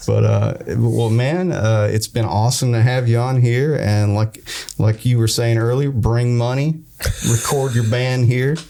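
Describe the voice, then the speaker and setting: A man's voice: mid-range voice, steady tone, measured pace, creaky, dark tone. A man with a Southern US accent hosts a casual interview in a recording studio. He is chatty and self-deprecating and laughs often between his lines. A faint hiss of noise sits under his voice. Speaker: podcast host.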